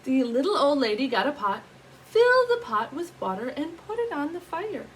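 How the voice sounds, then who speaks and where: A woman reading a picture book aloud in an animated, teacherly way, pausing to put questions to young listeners. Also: high-pitched, slight background noise, low-quality recording.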